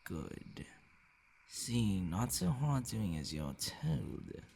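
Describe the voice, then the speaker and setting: A man playing a possessive, menacing vampire in an intimate ASMR roleplay, murmuring and whispering in a gravelly, commanding, condescending way. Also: very animated, extremely slow, a little mellow, a little hiss or hum, exaggerated voice. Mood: menacing, coaxing. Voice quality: gravelly, breathy low murmur dropping into whispers. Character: possessive, menacing male vampire toying with his captive.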